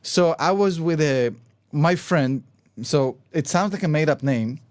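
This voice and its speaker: A man with a noticeable foreign accent and a fairly high-pitched voice tells a story in a relaxed podcast chat. He talks in a lively, laughing way and keeps slipping in "bro.". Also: a little slow, no room sound. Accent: foreign accent in English. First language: not English. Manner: laughing, animated storyteller, says "bro" a lot. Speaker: podcast host.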